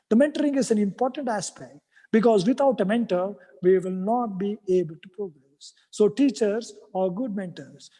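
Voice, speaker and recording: A native Kannada speaker addresses a university audience in Indian English, speaking in an earnest, formal way and running through points one after another. The voice is mellow, and the sound carries the long reverb of a large hall. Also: medium pitch. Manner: earnest, lists items in rhythmic series. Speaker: speaker at a university policy event. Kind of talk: formal prepared speech with thanks.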